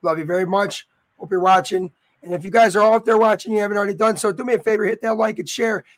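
A man with a raspy voice hosting a live sports show, talking upbeat and straight to his viewers. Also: sits high, fast. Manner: upbeat and promotional, addressing viewers directly. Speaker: host.

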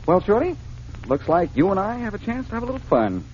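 An actor playing a cool, wisecracking, unflappable adventurer hero in a 1940s radio drama, with a breezy, wry delivery. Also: male voice, sits high, big pitch swings, quick pace, a little mellow, a little hiss or hum. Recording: muffled 1940s radio recording.

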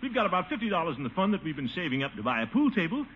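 An actor playing a pompous, blustery middle-aged water commissioner with a booming chuckle, in theatrical 1950s radio sitcom style. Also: male voice, big pitch swings, very fast, husky, full character voice. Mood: eager, self-satisfied. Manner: theatrical, swooping, grandiose delivery. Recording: muffled, faintly noisy old radio recording.

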